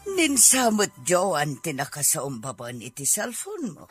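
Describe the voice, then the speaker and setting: Woman playing a low, husky older Ilocano mother. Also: huge pitch swings, a little slow, soft background music, full character voice. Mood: concerned, probing. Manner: probing, fretful. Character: concerned older mother yearning for a grandchild. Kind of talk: audio drama acting.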